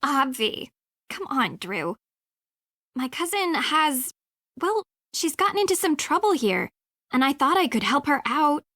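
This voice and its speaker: A woman's voice: high voice, very animated, bright, forceful tone, no room sound, studio quality, full character voice. A woman voicing a snooty, exasperated young rival in a video game, with petulant, slangy, dramatic delivery. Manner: petulant, slangy, drawn-out emphasis.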